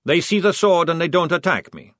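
A man voicing a calm, polite male vampire recruit who speaks patiently and deadpan. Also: medium pitch, unhurried, dry recording, clean studio sound.

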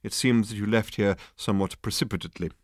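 A man's voice: on the low side, fairly even intonation, fast, no room sound, studio quality, lightly acted. A man voicing a small, long-suffering alien waiter in an audiobook, formal, deferential and hesitant but persistent. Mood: patiently insistent.

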